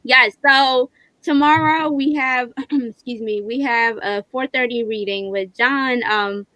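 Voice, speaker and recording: A woman's voice: fairly high pitch, slow, sharp tone, dry recording, low-quality recording. Woman, livestream host, casual chat, enthusiastic, appreciative, dry recording.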